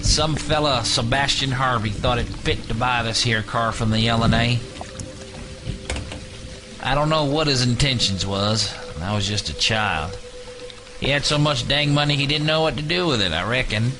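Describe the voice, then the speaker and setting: A man playing a gruff, menacing older prison guard with a Louisiana Southern accent in an audio drama. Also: medium pitch, moderate pace, voice pushed up, natural voice. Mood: folksy, reminiscing. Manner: folksy drawl when reminiscing, barking orders when angry. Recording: music bed under the voice.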